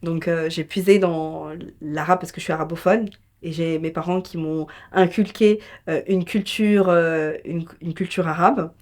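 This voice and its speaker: A woman's voice: fairly low pitch, fairly even intonation, a little slow, a little mellow. A woman speaking conversationally as an interview guest, telling personal stories in an emphatic, colloquial way. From France. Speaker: interview guest, entrepreneur and business mentor.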